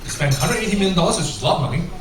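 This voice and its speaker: A man's voice: high-pitched, lively intonation, racing. A man from Singapore with a mixed English and American accent, a corporate trainer giving a chatty talk and quizzing his audience. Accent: mix of English and American. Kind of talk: conference talk with audience questions. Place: large echoey hall with an audience. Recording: echoey hall, voice from a distance, noisy.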